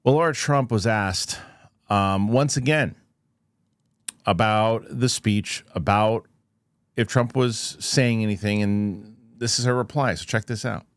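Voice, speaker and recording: A man's voice: on the low side, measured pace, dry recording, studio quality. A man hosting a news show, speaking in a conversational, loosely rambling commentary style. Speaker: host of a UFO news show. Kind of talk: casual news commentary with host-read ad.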